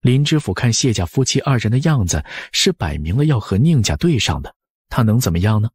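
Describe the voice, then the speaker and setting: A man's voice: medium pitch, big pitch swings, fairly quick, darkish tone, husky, dry recording, studio quality. A man narrating a novel as an audiobook, scripted and dramatic.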